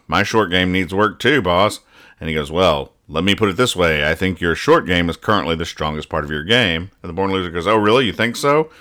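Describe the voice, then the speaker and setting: A man's voice: low voice, bright, forceful tone. A man hosting a casual comedy podcast, reading out and explaining things in a rambling, mock-serious way. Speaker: host of a comics-reading podcast.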